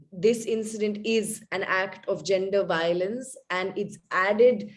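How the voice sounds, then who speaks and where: A woman journalist on a video call, weighing her words with pauses and fillers. Her pitch and pace sit in an easy middle range. She speaks as a panelist in a webinar discussion. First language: not Hindi. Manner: thoughtful, halting, with many fillers. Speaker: journalist and podcast maker.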